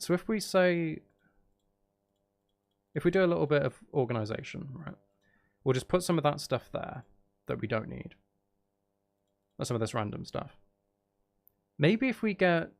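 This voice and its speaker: A man's voice: on the high side, vocal fry. A man live streaming in a casual, chatty way, with dry, teasing humour while he plays a game.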